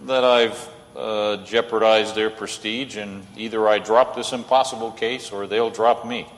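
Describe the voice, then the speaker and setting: A man's voice: lowish voice, fairly even intonation, a little slow, close to an everyday voice. A man acting an idealistic, earnest lawyer in an old-time radio play, speaking persuasively. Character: idealistic, earnest male lawyer with stubborn faith. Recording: reverberant hall, stage radio play.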